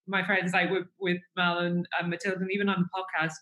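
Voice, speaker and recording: Brief: woman, soft Cork accent, bright and forceful voice, reflective podcast chat. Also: fairly low pitch, flat delivery, quick pace. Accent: softened Cork. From Cork, Ireland. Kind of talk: casual chat. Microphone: video call.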